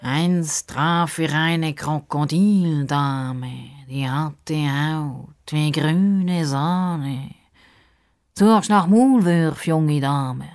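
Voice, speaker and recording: Children's story crocodile, played by a woman: drawn-out, pompous, easily miffed, formal in every phrase. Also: deep voice, music in the background, exaggerated voice. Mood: grave, offended. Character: pompous, slightly huffy crocodile, formal and unhurried. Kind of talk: children's radio story character acting.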